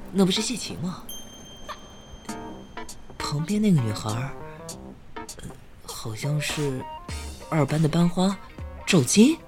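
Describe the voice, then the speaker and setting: A man playing a wary, easily exasperated high school student in an audio drama, expressive and grumbling. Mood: curious, alarmed. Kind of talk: Chinese audio drama acting with first-person narration.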